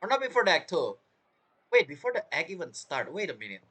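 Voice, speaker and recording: Bright, animated man commentating a game. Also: fairly high pitch, breathy edge. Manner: halting, rambling, self-interrupting. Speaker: game streamer. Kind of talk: casual gameplay commentary.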